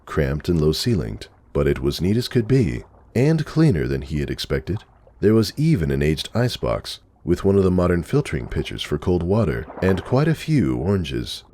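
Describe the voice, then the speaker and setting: A man's voice: rumbling low, a little mellow. A man narrating a story from a script as a dramatic reading. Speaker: narrator, fan fiction reader.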